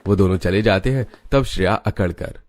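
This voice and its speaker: A man's voice: low voice, normal speed, faint music behind. A man narrating a scripted family story in Hindi cartoon style.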